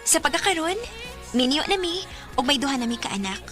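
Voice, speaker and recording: High-voiced, gentle teenage schoolgirl. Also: lively intonation, fairly quick, music in the background. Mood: warm, narrating. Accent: Cebuano. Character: teenage schoolgirl, gentle, dutiful, playfully frank. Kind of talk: radio drama acting.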